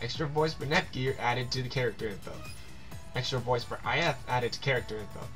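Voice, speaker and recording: A man's voice: mid-range voice, brisk, a bit bright, faint music behind. A man doing casual game commentary, reading on-screen text aloud and stumbling over words now and then.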